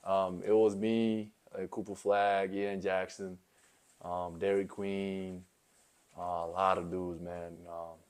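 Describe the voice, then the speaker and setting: A man's voice: low-pitched, little pitch movement, slow pace, a little mellow, clear, resonant tone. A young man from South Sudan, a college basketball player, answering interview questions in a laid-back way, full of "you know" and "um". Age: young adult.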